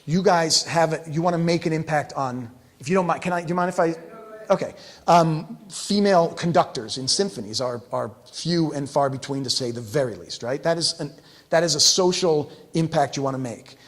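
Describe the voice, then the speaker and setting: A man hosting an informal live presentation as organizer, speaking in a halting, self-interrupting way with checking questions. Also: a little high. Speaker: conference organizer and host. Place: indoor event room in front of a live group. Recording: echoey hall with long reverb.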